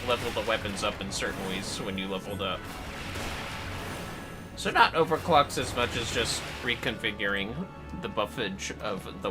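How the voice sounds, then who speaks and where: Guy livestreaming a game, rambly casual chat, pitch moves around a lot, some music behind. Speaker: livestream host playing a game. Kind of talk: casual gaming commentary.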